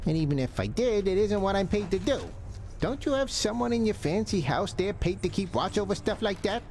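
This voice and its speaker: An actor voicing a cheeky, wisecracking skeleton sparring dummy in a video game, with brash, chummy slang. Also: male voice, high-pitched, lively intonation, brisk, a little mellow, a little hiss or hum. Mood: evasive, brash. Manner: brash, slangy, chummy wise-guy talk. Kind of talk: video game character acting.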